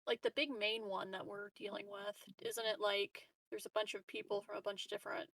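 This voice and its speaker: A woman's voice: medium pitch, fairly quick, creaky, a bit bright, no room sound. A woman playing a tabletop roleplaying game, chatting informally as she offers suggestions. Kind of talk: casual game chat.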